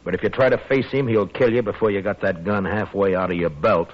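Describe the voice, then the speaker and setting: Man playing a deep-voiced, stern frontier marshal. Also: fairly even intonation, a little fast, close to an everyday voice. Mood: firm warning. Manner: blunt, firm, authoritative. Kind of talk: 1950s radio western acting. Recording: dull vintage 1950s radio recording.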